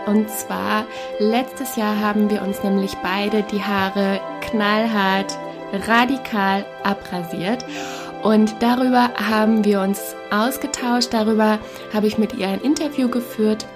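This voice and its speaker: A woman's voice: medium pitch, steady tone, unhurried, over music. Enthusiastic female podcast host. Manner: enthusiastic, many fillers. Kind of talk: casual podcast interview. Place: cozy living room.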